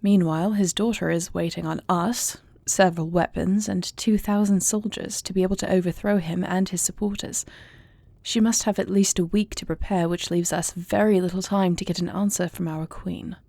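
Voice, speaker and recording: A woman playing a composed, dutiful dragon princess in an audio roleplay, speaking intimately with formal, measured, eloquent diction. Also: fairly low pitch, steady tone, vocal fry, dark tone, dry recording. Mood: resolute, businesslike. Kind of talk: scripted audio roleplay, intimate dramatic monologue.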